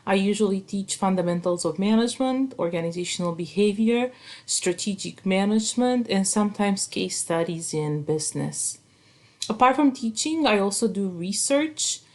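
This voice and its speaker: Woman, 30s, lower register; earnest, formal college instructor giving a welcome talk. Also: darkish tone. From Romania. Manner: earnest, structured and a little formal, lifting the start of each new point. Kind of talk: course welcome video.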